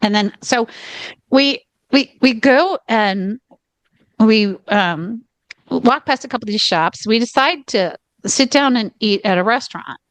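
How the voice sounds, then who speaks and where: A Southern-drawling woman spins a travel tale in loose, rambling podcast chat, pausing on "okay" as she goes. Her voice sits fairly high. The sound is close and dry, with no room around it. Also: fairly bright tone, no room sound. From United States. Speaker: podcast co-host. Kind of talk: casual storytelling chat.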